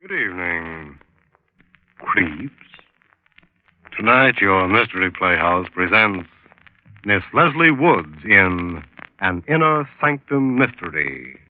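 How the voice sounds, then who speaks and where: Very deep, ominous radio announcer. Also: male voice, big pitch swings, slow, long pauses, dry recording, heavily acted. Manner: theatrical, ominous, portentous. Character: solemn, sinister male mystery show announcer. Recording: old muffled radio recording.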